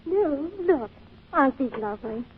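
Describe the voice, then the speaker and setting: An actress playing a gentle homemaker wife in an old-time radio drama, homely and solicitous. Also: female voice, high voice, expressive, moderate pace, slight background noise. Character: gentle, worried wife, dryly teasing homemaker. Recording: muffled old radio recording, music.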